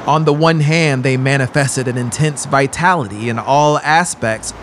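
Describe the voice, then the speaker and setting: A man in his thirties narrating a horror audiobook in a theatrical, deliberate, emphatic way, close to a studio mic. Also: medium pitch, fairly bright tone, slight background noise. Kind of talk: dramatic horror story narration. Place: small brick-walled studio room indoors. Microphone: close broadcast mic on a boom arm.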